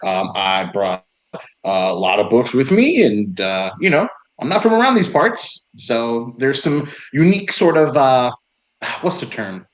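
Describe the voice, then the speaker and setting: A man's voice: medium pitch, very animated, unhurried, rough recording. A man playing a tabletop role-playing game, speaking in and out of character in an easygoing, rambling way. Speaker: player.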